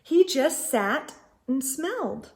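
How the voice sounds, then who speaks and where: A woman's voice: high voice, very animated, slow pace, a little mellow. A woman reading a children's storybook aloud as host, expressively and with emphasis, speaking directly to young listeners.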